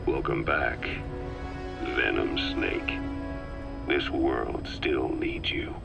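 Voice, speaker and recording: An actor playing a calm, middle-aged spy and military advisor in a video game, speaking in a measured, knowing way with deliberate pauses. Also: male voice, very deep voice, vocal fry, loud music behind the voice, full character voice. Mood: grave, knowing. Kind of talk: dramatic scripted briefing and dialogue in a video game.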